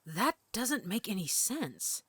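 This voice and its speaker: A woman playing a calm, protective werewolf mother who leads her pack in an audio drama, speaking with authority. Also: medium pitch, slow, slightly rough, no echo, full character voice. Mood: baffled. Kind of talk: audio roleplay acting.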